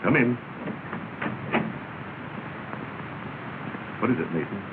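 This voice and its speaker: A man playing a kindly, genteel older gentleman in a 1930s film drama. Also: medium pitch, lively intonation, very fast, natural voice. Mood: brisk. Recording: scratchy, tinny 1930s film soundtrack.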